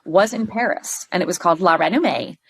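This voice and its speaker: A middle-aged woman from Pennsylvania, a stage performer chatting as a podcast guest, gushing and animated, with lots of restarts. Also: on the low side, fairly quick, slightly rough. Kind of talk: casual interview chat.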